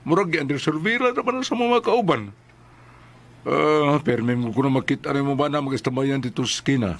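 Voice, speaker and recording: A man playing an overbearing, menacing neighbour in a radio drama, with a Visayan Filipino accent and a halting, insinuating way of talking. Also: mid-range voice, natural voice. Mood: menacing, probing. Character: overbearing, menacing male neighbour who leans on people.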